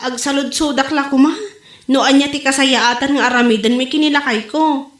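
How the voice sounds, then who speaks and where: Frank village woman, played by an actress: high voice, Ilocano accent, comic drama delivery, echoey room. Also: steady tone, fairly quick, lightly acted. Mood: earnest. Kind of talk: Ilocano comedy drama acting. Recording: roomy echo.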